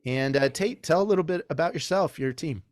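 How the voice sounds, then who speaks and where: A man's voice: fairly high pitch, fairly quick, no echo, studio quality. A man hosting a casual podcast, joking with guests and inviting each one to speak. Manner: jokey, friendly, prompts guests. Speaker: podcast host.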